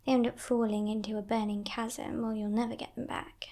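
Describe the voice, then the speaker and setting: A woman voicing a playful, menacing female demon in a breathy, teasing whisper for an ASMR roleplay. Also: on the high side, flat delivery, close to an everyday voice. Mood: breezy, condescending. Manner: teasing, condescending, softly sinister, unhurried.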